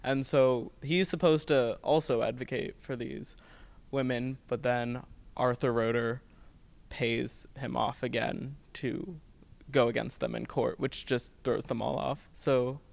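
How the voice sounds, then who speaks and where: Teenage boy with a creaky voice. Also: mid-range voice, fairly even intonation, a little slow. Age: teens. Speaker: student actor being interviewed. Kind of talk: casual interview answer.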